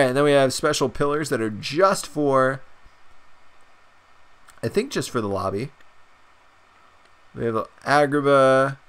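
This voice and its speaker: A young man with a stuffed-up nose, chatting excitedly and rambling through a video game's shop menus as a gaming channel host. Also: medium pitch, clean tone. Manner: excited, rambling, many fillers. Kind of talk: casual gameplay commentary.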